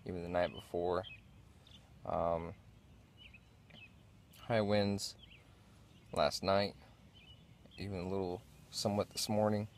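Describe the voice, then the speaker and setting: A man in his thirties giving a casual, unscripted gear review in a low-key, halting way inside a small tent. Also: vocal fry, slight background noise.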